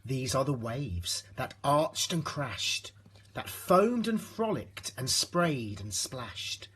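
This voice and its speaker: A man reading a rhyming children's storybook aloud in an expressive narrating style. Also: mid-range voice, slow, slightly rough. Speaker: storybook reader.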